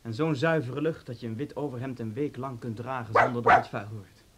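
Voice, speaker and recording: An actor in a Dutch radio play voicing a suave, talkative police officer with an urbane, polite manner and sly menace. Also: male voice, medium pitch, steady tone, fairly quick, very noisy, natural voice. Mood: genial, dry.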